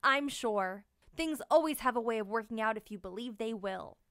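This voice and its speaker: Young male storybook tortoise played by a woman, high voice, determined, earnest optimist. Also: animated, normal speed, fairly bright tone, no echo, clean studio sound. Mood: determined, hopeful.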